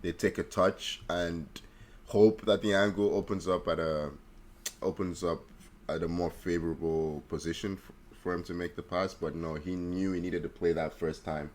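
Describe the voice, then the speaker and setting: Male podcast co-host, low voice, casual and informal football talk. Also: steady tone, normal speed, a little hiss or hum.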